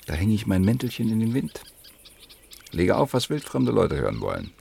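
An actor playing a wry, self-deprecating man in his thirties in a naturalistic radio play, with a gravelly voice and laconic manner. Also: on the low side, wildly swooping pitch, moderate pace, mellow, a little hiss or hum, heavily acted. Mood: wry, reminiscing. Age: 30s.

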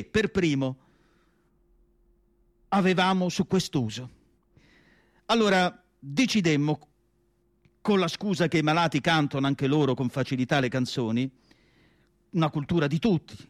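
An actor playing an earnest, melancholy asylum doctor in a theatrical radio monologue, speaking in deliberate, emphatic phrases with weighty pauses. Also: male voice, fairly high pitch, no echo, studio quality, natural voice. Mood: fond, earnest. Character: earnest, melancholy male asylum doctor recalling his life's work.

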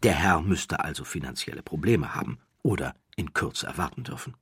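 A man reading a literary audiobook as a scripted narrator, with measured, deliberate phrasing and dramatic pauses. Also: a bass voice, animated, breathy edge, no room sound, clean studio sound.

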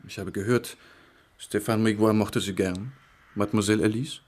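Low-voiced, courteous young police commissioner played by actor. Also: male voice, steady tone, darkish tone, lightly acted. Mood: probing. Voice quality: young-sounding, pleasant timbre. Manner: polite, measured, discreet. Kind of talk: radio drama acting.

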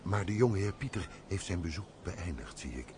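Loyal servant, extremely low voice, earnest and respectful, radio drama, light background noise. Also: male voice, lively intonation, brisk, darkish tone. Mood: firm, pleading. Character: loyal, frank, compassionate manservant to a gentleman. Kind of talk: radio play acting.

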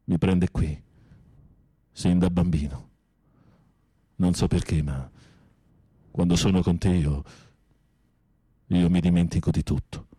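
A deep, husky, theatrical male prisoner. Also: slow pace, pauses often, dry recording, clean studio sound, full character voice. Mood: melancholy. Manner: literary, dramatic, expressive delivery. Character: theatrical, sensitive male prisoner telling melodramatic tales. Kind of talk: Italian radio drama acting.

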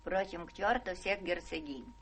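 An actress in a Russian radio play playing a rich, capricious, imperious old English spinster with a blunt theatrical manner. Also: female voice, lowish voice, normal speed, a bit bright, slightly rough. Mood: gushing, commanding.

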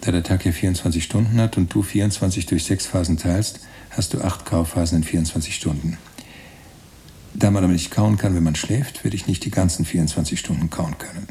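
A pedantic writer in a radio play, laying out his reasoning deliberately. The voice is deep and mellow with a dry creak. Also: steady tone, a little hiss or hum. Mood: pedantic, earnest. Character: pedantic male writer reasoning through absurd sums. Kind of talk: German radio play monologue.

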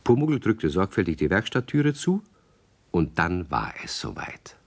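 A male narrator tells a children's story in a very deep voice. His delivery is scripted and expressive, with wide swings of melody that build suspense. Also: unhurried.

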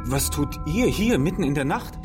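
Ernster, treuer Mönch, hellere Lage. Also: male voice, a little high, very animated, normal speed, over music. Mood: worried. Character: loyal, thoughtful monk, worried companion. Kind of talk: German radio play acting.

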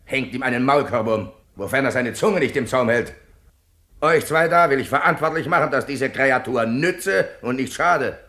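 An actor playing a cruel, bombastic rebel captain in a theatrical radio play, with a bellowing, pompous, menacing delivery. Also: male voice, medium pitch, lively intonation, normal speed, sharp tone. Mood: scornful, vengeful.

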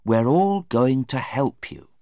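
A man's voice: medium pitch, expressive, takes it slowly, warm tone, no room sound, clean studio sound, voice pushed up. A man voicing an earnest middle-aged mother in an audiobook, soothing and coaxing. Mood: reassuring. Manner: reassuring, coaxing. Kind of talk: audiobook character voice.

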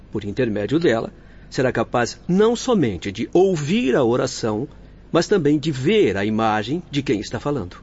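An actor playing a kindly, patient spirit-world doctor in a Brazilian radio drama, speaking in a measured, formal, reassuring way. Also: male voice, medium pitch, darkish tone, breathy edge. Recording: dull lo-fi radio drama audio with music.

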